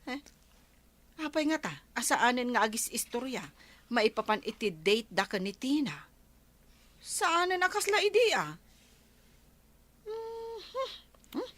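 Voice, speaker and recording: A woman's voice: high-pitched, slow, long pauses, full character voice. A woman playing a bossy, protective older sister, chatty and nagging, with an Ilocano Filipino accent in a comedy radio drama. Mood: prying. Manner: animated, nagging, forceful.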